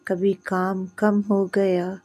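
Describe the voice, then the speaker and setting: A woman narrating a scripted moral story in short, measured phrases with pauses. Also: mid-range voice, level tone, mellow.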